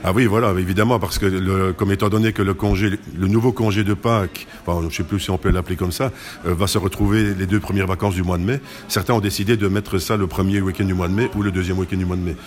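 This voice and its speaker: Fast-talking, low-voiced man. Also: fairly even intonation. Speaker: carnival committee president, interview guest. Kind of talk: conversational interview answers.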